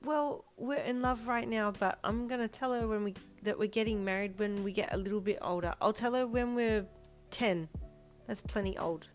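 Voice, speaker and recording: A woman's voice: mid-range voice, moderate pace, soft background music, voice pushed up, lightly acted. A woman voicing a proud, bossy little boy who announces his plans boldly. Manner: bold, announcing.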